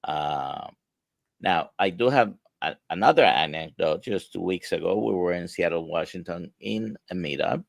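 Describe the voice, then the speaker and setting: A deep-voiced man with a Spanish accent hosts a live stream and speaks in halting bursts filled with "uh." His voice has a gravelly edge and is recorded dry and close, with no room sound. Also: lively intonation, no echo. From grew up speaking Spanish, now near Orlando. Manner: halting, many "uh" fillers, reads viewer questions. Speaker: host, physician. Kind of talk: casual live-stream interview.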